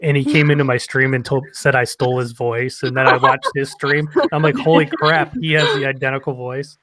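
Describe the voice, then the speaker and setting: A man's voice: mid-range voice, flat delivery, brisk, a loud crowd. A man chatting casually with friends as a streamer in an online group hangout. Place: online group video call.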